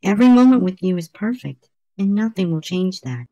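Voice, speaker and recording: A woman's voice: mid-range voice, lively intonation, fairly quick, dark tone, no room sound, highs cut off. A woman voicing a lovesick, downcast cartoon schoolboy in an amateur comic dub, earnest and plaintive. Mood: romantic.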